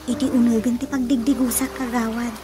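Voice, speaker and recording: A woman plays an excitable village woman in a radio comedy. Her voice is high and she talks in a familiar way, with music clearly behind her. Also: a little fast, close to an everyday voice. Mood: chatty. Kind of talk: radio comedy drama acting.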